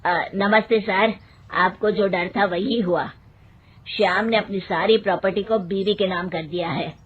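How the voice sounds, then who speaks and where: A performer voicing a polite, matter-of-fact male lawyer in an animated moral story, formal and explanatory. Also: mid-range voice, unhurried, lightly acted. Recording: thin phone-like sound, faint noise.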